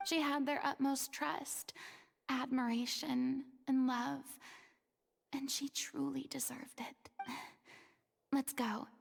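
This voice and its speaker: Gentle young game heroine, high and slow, soft breathy delivery, reverberant sound. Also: female voice, little pitch movement, heavily acted. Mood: solemn.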